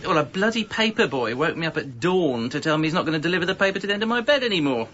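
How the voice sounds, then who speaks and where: A man's voice: a little high, expressive, very fast, a bit bright, slight background noise. An actor playing a lazy, evasive composer, narrating his own life in a dry, self-justifying way for a radio comedy. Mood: indignant. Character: lazy, evasive slacker jingle composer telling his story. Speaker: narrator and lead character. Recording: slightly muffled radio recording.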